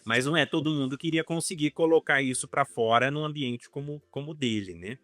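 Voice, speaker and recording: A man with a Brazilian accent chatting casually as a podcast co-host, halting and repeating words as he thinks. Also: fairly high pitch, a bit bright, no room sound. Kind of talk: casual conversational podcast talk.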